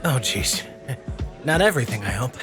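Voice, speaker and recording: Man as an awkward sincere fellow, hesitant, average male pitch, casual drama dialogue, music underneath. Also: very animated, normal speed, lightly acted. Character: apologetic, earnest, awkward man. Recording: music behind the voice.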